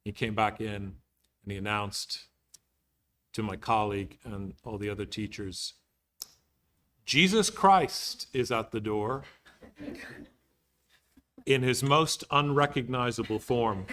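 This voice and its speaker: A man leading a book club discussion in a museum meeting room, talking thoughtfully with pauses. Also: fairly low pitch, fairly bright tone. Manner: thoughtful, storytelling, with frequent pauses.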